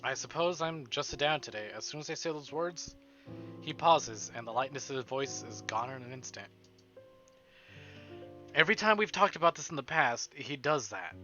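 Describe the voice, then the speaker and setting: A man's voice: medium pitch, lively intonation, moderate pace, highs cut off. A man streaming a visual novel, reading the story aloud as dramatic narration. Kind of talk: dramatic read-aloud narration with casual asides. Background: soft game music.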